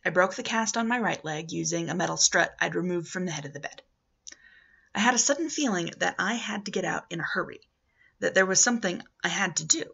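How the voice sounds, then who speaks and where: Woman reading a story out loud at home, crackly fry but bright, bit muffled webcam audio. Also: fairly low pitch, animated, brisk. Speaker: amateur audiobook reader. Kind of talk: scripted narration, reading a novel aloud. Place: living room at home, indoors. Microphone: webcam or laptop at arm's length.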